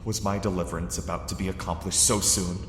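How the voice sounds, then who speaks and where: A man giving a measured, grave first-person horror narration that swells with dread. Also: low-pitched, level tone, fairly bright tone, slight background noise. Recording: echoey cave-like reverb with music.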